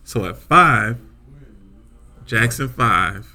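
Co-host type: deep-voiced man, relaxed and joking, crisp bright tone. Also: very slow. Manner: relaxed, joking, swears freely. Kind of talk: casual chat.

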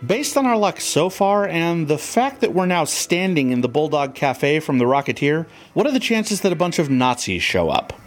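A man's voice: a little high, big pitch swings, moderate pace, hardly any pauses. A man playing an upbeat, joking fan caught up in a comedic audio drama adventure. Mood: dry, joking.

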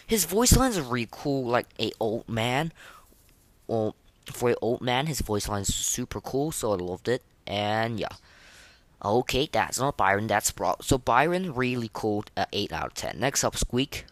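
A man hosting a podcast in casual, slangy, excitable chat, reviewing game voice lines. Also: mid-range voice, a little slow. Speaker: podcast host.

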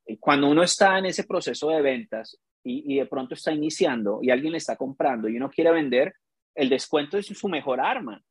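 A Colombian man with a slightly raised voice and a husky edge, talking as a business coach. He tells stories emphatically and acts out dialogue in a dry, close recording. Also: a little high, animated, fairly quick, no echo. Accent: Colombian Spanish. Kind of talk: casual podcast chat.

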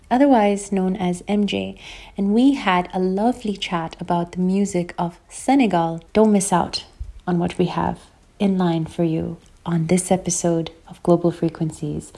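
Woman radio host, warm dark tone, measured scripted read. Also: lowish voice, vocal fry. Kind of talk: scripted show introduction.

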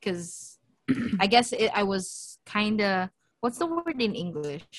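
A woman's voice: mid-range voice, sing-song, slow pace, dry recording. Laughing woman co-hosting a podcast. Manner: laughs often, restarts sentences, frank. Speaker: podcast co-host. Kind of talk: casual chat. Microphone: video call.

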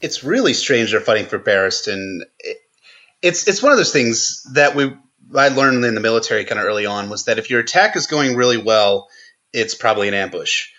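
A man's voice: medium pitch, animated, fairly quick, bright, forceful tone, highs cut off. A man giving unscripted analysis as a podcast guest, explaining things with frequent restarts. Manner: explanatory, stumbles and restarts often. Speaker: guest commentator.

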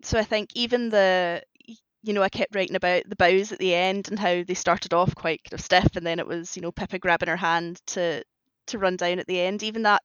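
A woman's voice: medium pitch, dry recording, lo-fi sound. A woman guest on a podcast talking casually and chattily about her own writing, explaining as she goes. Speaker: podcast guest, fan fiction writer.

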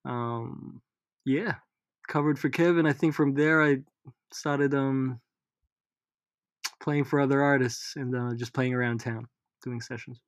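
A man in his thirties, a guest musician whose first language is Tagalog, talking in a relaxed, hesitant way with many pauses. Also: mid-range voice. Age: 30s. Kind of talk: casual interview chat.

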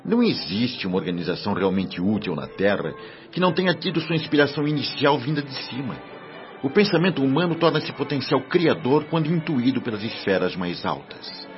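Male actor for earnest, instructive spirit guide in radio drama, lively intonation, slightly muffled with soft music. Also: medium pitch, close to an everyday voice. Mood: reverent. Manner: didactic, reverent, measured. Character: kindly, earnest male spirit guide explaining a celestial colony. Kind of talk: Brazilian radio soap acting.

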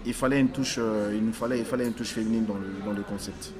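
A man born in Africa, a stylist talking as an interview guest, halting and restarting his phrases as he searches for words. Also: fairly low pitch, steady tone, a crowd in the background. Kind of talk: casual interview conversation.

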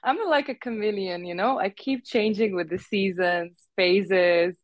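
A woman's voice: mid-range voice, unhurried, no echo. Chatty young woman telling stories, dry sound. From Uzbekistan. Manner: chatty storyteller who laughs often and acts out quoted voices. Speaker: guest, fashion model. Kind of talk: casual interview chat.

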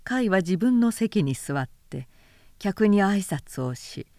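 A woman reading literary fiction as a narrator, with measured delivery and careful enunciation. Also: medium pitch, very animated, dark tone, no echo, studio quality. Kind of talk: scripted literary audiobook narration.

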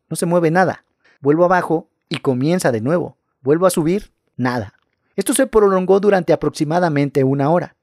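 A man reading scary first-person stories aloud in a calm, measured narration. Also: on the high side, no room sound, clean studio sound.

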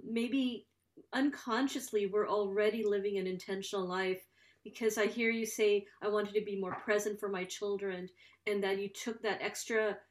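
A woman hosting a podcast interview, asking questions in a measured, complimentary way. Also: mid-range voice. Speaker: podcast host.

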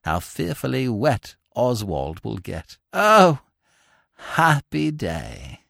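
A man reading aloud, voicing a lovesick young man who speaks softly, slowly and wistfully. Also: wildly swooping pitch, vocal fry, no echo, studio quality, exaggerated voice. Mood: hushed. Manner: low, hushed, slow and wistful.

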